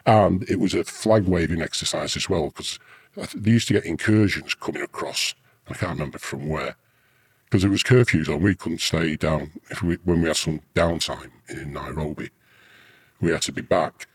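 A man's voice: sits low, moderate pace, slightly rough. A British man, middle-aged or older, an army veteran telling rambling, earthy anecdotes casually in an interview. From United Kingdom.

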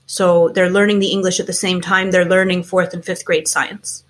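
A woman from Canada in her forties, a teacher being interviewed, explaining things in a measured, frank way. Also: on the low side, flat delivery. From Canada, small towns around Toronto. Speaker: guest, teacher and school founder. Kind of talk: casual interview chat.

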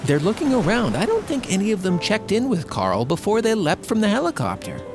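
An actor playing an excitable, showy reality show host giving playful, suspenseful commentary. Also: male voice, high-pitched, a little fast, heavily acted. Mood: excited. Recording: music bed under the voice.

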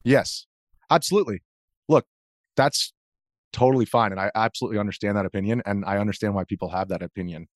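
An American man speaking as an interview guest, earnestly explaining his perspective in halting conversational phrases. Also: lowish voice, no echo, studio quality. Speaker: interview guest, video journalist.

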